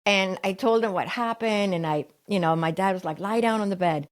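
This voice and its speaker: A woman's voice: medium pitch, brisk. A middle-aged woman, raised in Sweden, telling a personal story in an interview and acting out the voices of people she quotes. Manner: animated retelling, acts out quoted voices. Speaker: guest.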